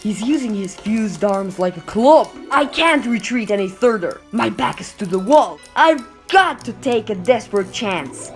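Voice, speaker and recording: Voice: medium pitch, lively intonation, a little slow, hardly any pauses, soft background music. An actor playing a young wisecracking superhero, earnest and determined, describing his own moves in a comic book dub. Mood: tense, determined. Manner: earnest, narrating own actions.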